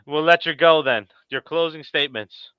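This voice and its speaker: A man's voice: on the high side, moderate pace, clean tone, no echo. A man hosting a casual live-stream chat, on a slightly dull-sounding mic. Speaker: show host.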